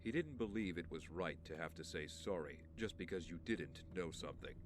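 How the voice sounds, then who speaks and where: A male actor as a wealthy young man in an audio drama, hurt and tired. His voice is low and measured, and he keeps evading. A noisy car ambience runs clearly underneath. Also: animated. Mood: weary, reflective. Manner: measured, evasive. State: injured, weary. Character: wounded, guarded wealthy young man, weary but composed.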